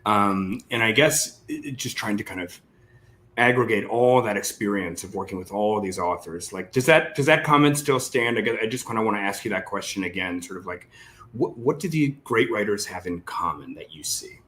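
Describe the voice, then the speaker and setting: A man with a fairly low voice hosts an interview in a conversational style. He feels his way tentatively through long questions, rewording as he goes. Faint ambient noise is audible behind him. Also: lively intonation, moderate pace, a bit bright. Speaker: interview host.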